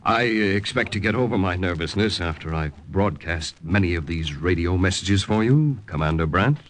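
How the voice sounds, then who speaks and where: An actor playing a composed, proper-spoken American army lieutenant held captive, in old-time radio drama style with narration. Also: male voice, deep voice, expressive, normal speed. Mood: measured, grave. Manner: precise, proper speech. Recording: old radio recording with music.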